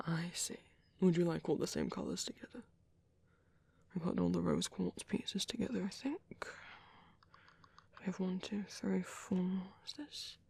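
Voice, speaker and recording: A man's voice: high-pitched, a little slow, no room sound, heavily acted. Man playing a whispery, caring vampire priest. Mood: soft, caring. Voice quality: whispery, breathy. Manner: gentle, hesitant, reassuring. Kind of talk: soft-spoken ASMR roleplay.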